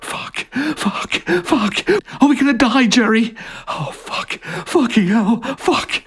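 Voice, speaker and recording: Man putting on a frightened, high-pitched woman traveller. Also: slow, fairly bright tone, breathy edge, heavily acted. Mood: terrified. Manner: blurting, repetitive swearing. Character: frightened, sweary woman traveller, bitter and despairing.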